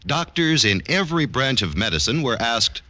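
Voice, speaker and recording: A man reading a scripted mid-century radio commercial as an announcer, with crisp, deliberate delivery. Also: medium pitch, big pitch swings, pushed and bright, no echo.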